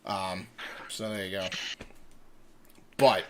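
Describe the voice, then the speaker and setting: A man's voice: sits low, steady tone, takes it slowly, crowd noise. A man hosting a casual livestream, chatting informally with plenty of swearing and repeated phrases. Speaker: livestream host.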